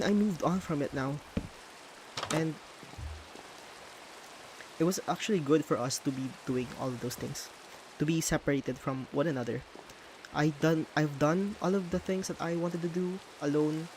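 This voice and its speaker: A man's voice: fairly high pitch, background noise. A man from the Philippines with a Filipino accent and Tagalog as his first language, chatting casually as he builds in a game and stopping to hunt for English words. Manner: thinks aloud, pauses to hunt for English words. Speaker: streamer.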